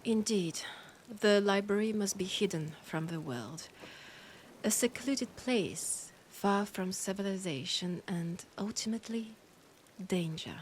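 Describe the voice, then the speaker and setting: A woman with a French accent playing an annoyed, condescending vampire scholar in a roleplay audio drama, often dropping into breathy whispers. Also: mid-range voice, measured pace, a little hiss or hum, lightly acted. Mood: haughty, dismissive. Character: annoyed, haughty female vampire scholar, ancient and hungry.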